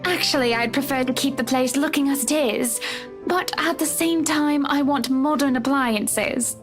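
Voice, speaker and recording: An actress playing a chatty, teasing wealthy wife in a visual novel, with an assured, brisk manner. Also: female voice, high-pitched, over music.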